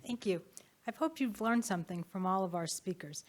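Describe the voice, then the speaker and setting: A woman's voice: medium pitch, animated, normal speed, noisy background. Woman panel moderator, mid-range voice, off-the-cuff conference speech. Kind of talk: conference moderating, semi-spontaneous.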